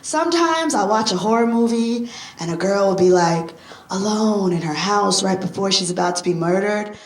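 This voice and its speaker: A woman's voice: medium pitch, normal speed, roomy sound, close to an everyday voice. A woman playing a flippant, spendthrift young roommate who does amateur stand-up, with a wry, punchline-driven delivery in a comedy. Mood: wry, joking. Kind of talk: sitcom acting, observational stand-up delivery.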